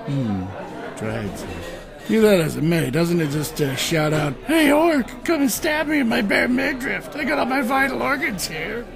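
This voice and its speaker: A man's voice: high voice, very animated, normal speed, creaky, crowd noise, exaggerated voice. A man acting a wisecracking, skeptical adventurer who leads his band in a comic fantasy audio drama, talking casually and sarcastically. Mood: sarcastic. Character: wisecracking, skeptical, down-to-earth male adventurer leader. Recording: music under the voice.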